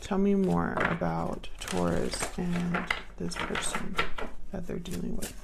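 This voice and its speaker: Woman reading tarot, creaky voice. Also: on the low side, level tone, slow. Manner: murmurs card prompts to herself, repeating them. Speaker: tarot reader, channel host. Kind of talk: casual spoken tarot card reading. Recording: clear background noise with card shuffling clicks.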